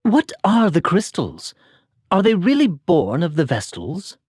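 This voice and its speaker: A troubled young knight from a video game, earnest and formal. His breathy voice moves slowly and swings widely in pitch, halting with dramatic weight. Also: wildly swooping pitch, darkish tone, no echo, clean studio sound, heavily acted. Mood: questioning.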